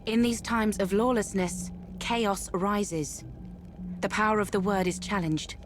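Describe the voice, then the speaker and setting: An actress playing a stern, solemn ancient guardian spirit in a video game, formal, stately and reproving. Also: female voice, medium pitch, steady tone, unhurried, background noise, natural voice. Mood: solemn warning. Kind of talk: archaic, formal game drama. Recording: music playing behind the voice.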